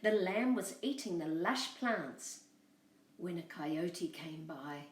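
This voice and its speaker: A woman telling a storybook tale to children, expressive and speaking directly to her young listeners. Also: fairly low pitch, slow pace. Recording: roomy echo, far from mic.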